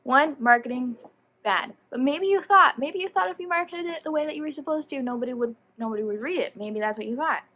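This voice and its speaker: A woman giving a casual, chatty book review as a vlogger, animated and slangy, often rambling and interrupting herself. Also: sits high, brisk, slight background noise.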